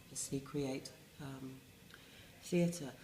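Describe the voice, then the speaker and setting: A middle-aged English woman, a resident playwright, talking reflectively in a wry, self-mocking way with many pauses and restarts. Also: a bass voice, steady tone, mellow, reverberant, slight background noise, poor audio. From England. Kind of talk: reflective interview talk.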